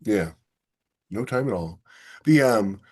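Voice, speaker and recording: Low-voiced man chatting on a podcast. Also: animated, takes it slowly, darkish tone. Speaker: podcast co-host and science fiction author. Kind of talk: casual discussion of sci-fi technology.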